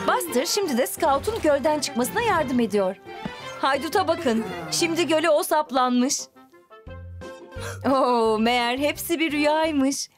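A woman narrator telling a cartoon story expressively to young children, speaking directly to the characters and viewers. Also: sits high. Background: music.

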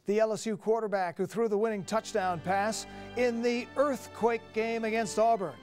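A man's voice: sits high, steady tone, moderate pace, a little mellow, soft background music. A man in his fifties anchoring a sports news show from a studio desk, reading a scripted introduction in a polished announcer manner.

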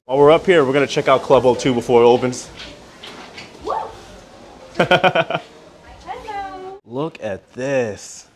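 A man's voice: medium pitch, takes it slowly, long pauses, background noise. A man in his thirties casually narrating a travel vlog to viewers, recorded at arm's length while walking around. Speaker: travel vlogger. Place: cruise ship interiors and open decks. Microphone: handheld phone or camera at arm's length.

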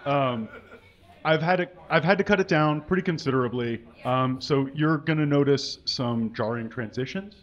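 Deadpan man doing a mock-earnest reading. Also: fairly high pitch, moderate pace, lo-fi sound. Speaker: guest reader. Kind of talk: comic dramatic reading. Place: small stage with curtains and brick wall. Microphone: stand mic.

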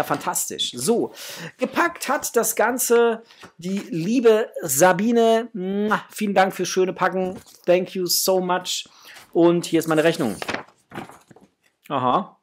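A man in his thirties with a slightly husky voice, chatting informally to viewers as host of an unboxing video. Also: sits high. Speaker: host of a toy collecting channel. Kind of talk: casual chat, unboxing to camera. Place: small room at home, indoors.

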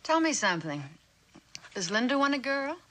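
A woman acting a caring, curious character who minds a small child, speaking gently in an old television drama. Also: high voice, sing-song, moderate pace. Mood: curious, concerned. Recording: noisy, clicky old television soundtrack.

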